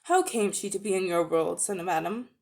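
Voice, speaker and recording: A woman voicing a great male lion, regal and stern, speaking low in grave, measured, formal phrases. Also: gravelly, darkish tone, lightly acted. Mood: stern, solemn.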